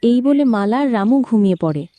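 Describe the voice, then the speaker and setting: A woman's voice: a little high, expressive, fairly quick, warm tone, dry recording. A woman narrating a cartoon folk story in a scripted storybook style.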